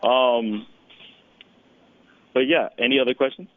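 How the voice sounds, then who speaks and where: A male customer service worker on the phone, patiently and haltingly explaining things. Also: mid-range voice. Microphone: telephone. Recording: tinny narrow phone line.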